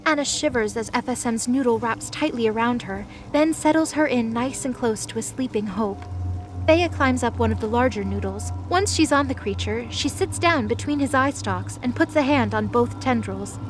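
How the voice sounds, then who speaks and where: Female narrator for scripted audio drama; slightly high voice, music under the read. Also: normal speed, rough recording.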